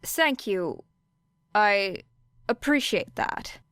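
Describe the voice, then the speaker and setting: Teenage girl student, read by a woman, guarded, reserved, polite, smooth clear voice, close dry sound. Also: medium pitch, animated, drawn-out words, fairly bright tone, dry recording. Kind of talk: audiobook character voice.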